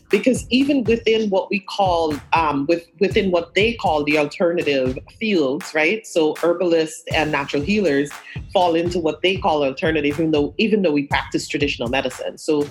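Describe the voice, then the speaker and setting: A woman's voice: on the low side, moderate pace, faint music behind, rough recording. A woman from Guyana who moved to New York as a teenager, talking in an interview with an earnest, emphatic way of explaining through repetition. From Guyana, then Brooklyn, New York from age fourteen. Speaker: guest, herbalist. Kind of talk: conversational interview.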